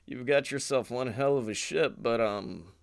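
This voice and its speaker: Man doing a low deadpan space rat engineer. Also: normal speed, creaky, no room sound, clean studio sound, close to an everyday voice. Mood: dry, coaxing. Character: tiny talking rat engineer, gruff laid-back male. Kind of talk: improvised comedy podcast pitch.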